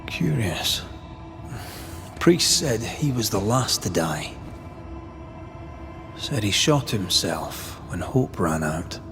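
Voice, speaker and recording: An actor playing a stern, plain-spoken man who investigates ghosts in a video game, measured and blunt. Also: lowish voice, very animated, music in the background, heavily acted. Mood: grim deduction. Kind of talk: video game drama acting.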